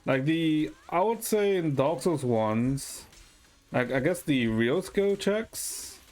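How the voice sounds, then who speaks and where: A man's voice: on the high side, animated, takes it slowly, darkish tone. A man streaming a game and chatting casually with viewers, thinking aloud hesitantly with some mild swearing. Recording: music playing behind the voice. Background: music.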